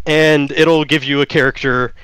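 A man's voice: fairly high pitch, steady tone, moderate pace, a bit bright, clean tone, low-quality recording. Talkative male game designer, German native. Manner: explanatory, many fillers and restarts. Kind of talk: interview answers.

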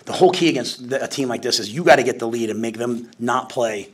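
A man in his forties, a head football coach, answering questions at a postgame press conference from a podium, talking in a rapid, conversational way full of restarts and fillers. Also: mid-range voice, breathy edge. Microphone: podium mic.

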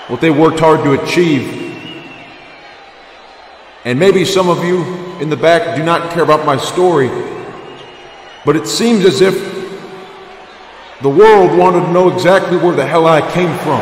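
A man voicing a gruff, bearded wrestler who went from drifter to champion, cutting a blunt, defiant promo full of swearing. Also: a little high, echoey room, big-room sound, noisy background, lightly acted. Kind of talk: fan-voiced wrestling game promo.

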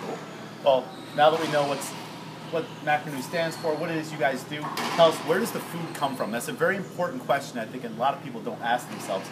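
A man's voice: fairly high pitch, brisk. A man in his thirties hosting a casual, upbeat interview, filmed on a camera from across an indoor room. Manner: upbeat, chatty, gestures while talking. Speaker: host. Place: bright indoor room with glass wall. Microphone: far camera. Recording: camera audio with loud background noise.

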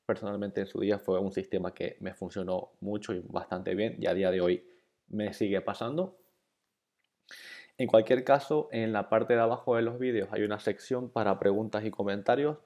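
A man from Bolivia, introducing an online programming course in a relaxed, conversational way. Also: on the low side, normal speed. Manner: relaxed, conversational, with fillers and repeated encouragement. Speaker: course instructor. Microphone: voice-over over slides.

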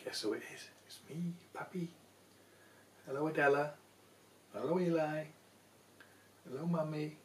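A man's voice: fairly high pitch, a little slow, long pauses. A man in his sixties, a grandfather playfully coaxing small children along as he tells them a story. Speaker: grandfather reading a picture book to grandchildren. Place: small indoor room at home. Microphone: camera a few feet away. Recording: roomy echo, a little far from camera.

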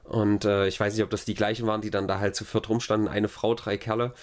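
A man's voice: lowish voice, little pitch movement, quick pace. A man hosting a podcast in casual conversation, telling rambling anecdotes in a sardonic way. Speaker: podcast host.

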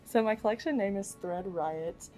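A woman's voice: mid-range voice, moderate pace, mellow, faint music behind. A young woman design student from Indiana, talking casually with fillers. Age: young adult.

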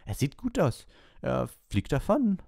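A man's voice: fairly high pitch, wildly swooping pitch, unhurried, creaky, a little mellow, no room sound, studio quality, pitched above the performer's own voice, heavily acted. A man voicing an earnest, uneasy teenage wizard schoolboy in an audiobook, hesitant but insistent. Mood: firm, insistent.

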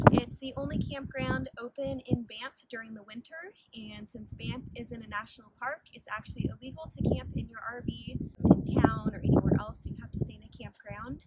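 Young woman vlogger, high voice, noisy recording. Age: young adult. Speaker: travel vlogger. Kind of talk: casual travel narration.

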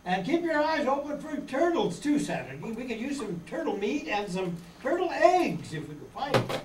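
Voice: sits high, sing-song, moderate pace. An amateur performer playing a bossy, practical young castaway leader in an old-time children's radio serial. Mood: practical, brisk. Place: community meeting room before an audience. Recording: echoey room, distant camera mic, hiss.

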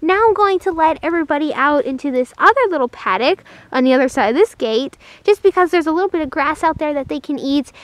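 Chatty woman doing a casual horse vlog, high voice, fond way of talking about animals, pretty dry sound. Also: lively intonation, fast talker, hardly any pauses, no echo. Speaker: horse vlogger.

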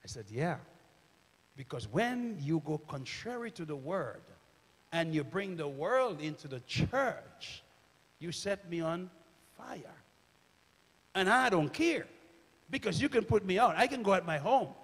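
High-voiced preacher in a reverberant hall. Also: male voice, sing-song, unhurried, long pauses, slightly rough. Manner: swings from loud shouting to hushed near-whisper. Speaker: minister. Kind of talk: sermon. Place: large church stage. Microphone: handheld microphone.

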